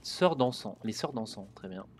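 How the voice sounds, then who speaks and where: A man's voice: fairly low pitch, animated, moderate pace, slight background noise. Chatty man commenting on a game. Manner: chatty, muttering and swearing at the game. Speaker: streamer playing a video game. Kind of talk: casual let's-play commentary.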